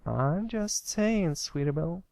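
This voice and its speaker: Man doing a drawling, high little filly. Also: lively intonation, unhurried, creaky, darkish tone, no room sound, studio quality. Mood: teasing. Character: plucky, matter-of-fact country filly pony.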